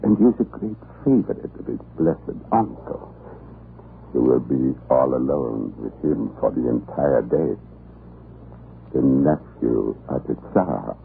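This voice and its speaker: An actor playing a cruel, scheming Russian prison island commandant in a radio drama, pompous and insinuating in his deliberate delivery. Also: very deep voice, animated, creaky, exaggerated voice. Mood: insinuating menace. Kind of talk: 1940s radio drama acting. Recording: muffled, noisy old radio recording.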